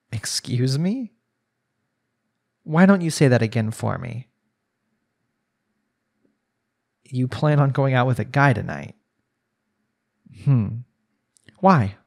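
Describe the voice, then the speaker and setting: A man acts out a young newlywed husband who is prone to jealousy, in an intimate audio drama. His voice sits at an average pitch and has a creaky, gravelly grain. He talks conversationally, as if to his wife or family, leaning on his words. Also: darkish tone, exaggerated voice. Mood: indignant, jealous. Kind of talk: intimate audio roleplay, acted monologue.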